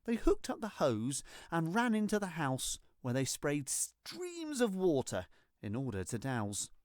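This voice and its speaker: Children's storyteller, rhyming storybook narration, slow pace, lively intonation, theatrical, close dry sound. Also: mid-range voice, no room sound. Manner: theatrical, expressive, deliberate. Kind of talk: scripted storybook narration in rhyme.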